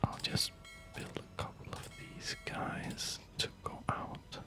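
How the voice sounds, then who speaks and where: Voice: takes it slowly, over music. A tired, rambling ASMR host whispering casual commentary while playing a video game, with hesitant, loosely connected phrasing.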